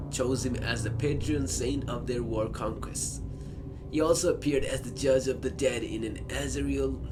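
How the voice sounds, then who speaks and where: A man's voice: medium pitch, rough recording. A man giving live commentary as he plays a horror game, often repeating himself. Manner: excitable, stammering, repeats himself. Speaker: gaming video host. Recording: music playing behind the voice.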